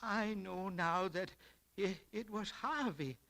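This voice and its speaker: A weary, remorseful shop owner in 1940s radio style. He speaks very slowly and haltingly, with grave feeling. The sound is that of an old broadcast. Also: high voice, pushed and bright, exaggerated voice.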